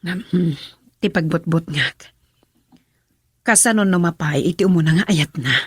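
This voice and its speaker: Low-voiced, caring mother. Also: normal speed, husky, no echo. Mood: glad, concerned. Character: caring middle-aged mother, happy yet concerned. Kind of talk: Ilocano radio drama acting.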